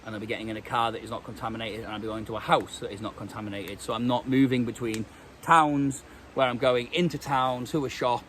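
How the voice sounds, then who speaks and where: A man's voice: mid-range voice, steady tone, quick pace, a bit bright, slight background noise. A British man with a stuffy cold, chatting casually in a vlog with lots of ums and restarts. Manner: rambling, many ums and restarts. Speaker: vlogger. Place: outdoors in woodland.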